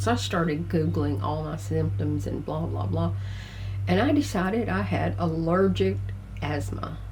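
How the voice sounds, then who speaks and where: Older woman, gruff and sniffly, lowish voice, rambling home vlog chat over a faint hum. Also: a little mellow, poor audio. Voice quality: gruff, congested. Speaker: vlogger. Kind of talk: casual chat to camera. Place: tiny house.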